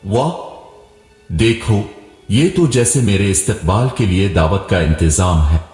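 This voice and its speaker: A man's voice: low-pitched, takes it slowly, a little mellow. A man voicing a foolish, overconfident young village man who philosophizes earnestly, in a storybook tale. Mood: pleased, bold. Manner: earnest, pompous. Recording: roomy echo with long reverb, music behind.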